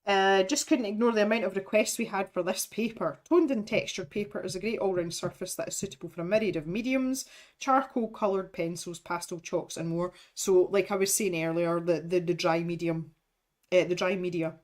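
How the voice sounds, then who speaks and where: A woman's voice: lowish voice, fairly quick. A woman in her thirties, chatting casually on a livestream, rambling and laughing as she reads out product blurbs. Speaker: art supplies streamer. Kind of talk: casual unboxing livestream chat.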